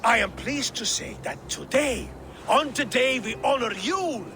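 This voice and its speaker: An actor playing a grandiose, scheming councilman in a video game, speaking in drawn-out oratory over a noisy background. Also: very high voice, lively intonation, exaggerated voice. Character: theatrical scheming male councilman, genial host turning zealot. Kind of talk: video game cutscene acting.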